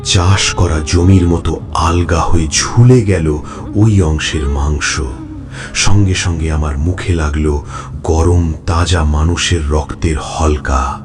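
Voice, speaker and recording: An actor playing a bloodthirsty, coldly gloating vampire in a horror audio story, speaking with slow, savouring menace. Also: male voice, a bass voice. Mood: savage relish. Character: bloodthirsty male vampire, coldly savouring his human prey. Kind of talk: dramatic first-person horror audio story narration.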